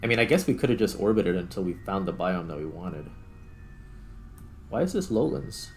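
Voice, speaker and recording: A man's voice: low-pitched, big pitch swings, normal speed, soft background music. A man giving casual gameplay commentary, thinking aloud in a tentative, self-questioning way as he narrates each step. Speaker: gaming video host.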